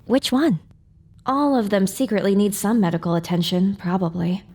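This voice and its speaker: A woman's voice: mid-range voice, steady tone, normal speed, darkish tone, no echo, close to an everyday voice. A woman playing a young, sardonic female pony in an audio drama, with a blunt, wry way of talking. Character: young female unicorn pony, sardonic, not a morning person.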